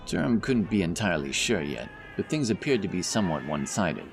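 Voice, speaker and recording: A man's voice: deep voice, very animated, a little fast, creaky. A man narrating a scripted fantasy audiobook as storyteller. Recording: narration over loud background music. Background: music, outdoor sound effects.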